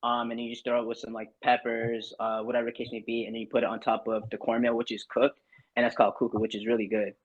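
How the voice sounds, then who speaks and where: Relaxed young man from Barbados chatting. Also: mid-range voice, fast talker, breathy edge, muffled recording. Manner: relaxed, rambling with many fillers. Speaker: podcast guest. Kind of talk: casual chat.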